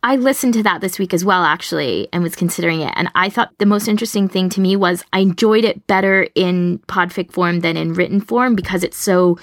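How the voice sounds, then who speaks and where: A woman's voice: fairly low pitch, brisk, few pauses, no room sound, studio quality. A woman co-hosting a podcast, explaining her recommendations in a casual, conversational way. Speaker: podcast co-host.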